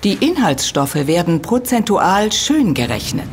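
An actress playing an earnest, critical university lecturer in an audio drama, explaining precisely and emphatically. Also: female voice, mid-range voice, big pitch swings, unhurried, faint music behind. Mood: explanatory, critical. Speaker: expert being interviewed.

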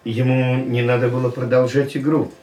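An actor playing a talkative older casino gentleman in a radio play, telling a story in a lively way. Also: mid-range voice, moderate pace, natural voice. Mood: anecdotal. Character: chatty older male casino gentleman, reminiscing. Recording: roomy, distant radio play recording with faint music.

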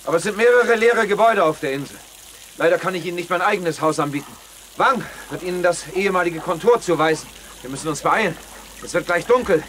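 A man's voice: sits high, fast, fairly bright tone, slight background noise. An actor playing a courteous, commanding man who lives alone on an island, in a radio play. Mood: composed, courteous.

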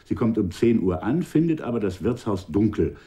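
An actor playing a confident, wry commissioner general in a German radio drama, speaking formally and with assurance. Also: male voice, fairly low pitch, big pitch swings, moderate pace, warm tone. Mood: dry, briefing.

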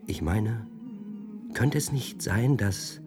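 An actor playing an intense, musing physicist in a radio drama, lecturing and speculating with halting rhetorical questions. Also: male voice, fairly low pitch, music in the background. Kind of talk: German science-fiction radio drama acting.

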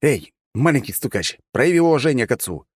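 Male reader as audiobook hero, sarcastic and argumentative, raised pitch, close dry recording. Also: sing-song, fairly quick, no echo, lightly acted. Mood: irritated. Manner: mocking, argumentative.

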